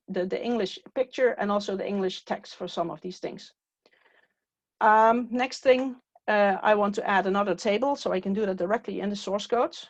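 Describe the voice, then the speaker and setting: A woman whose first language is Dutch, giving a step-by-step software training walkthrough with frequent "uh" fillers. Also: mid-range voice, no room sound. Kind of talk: screen-share tutorial walkthrough. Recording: dull, dry, lo-fi recording.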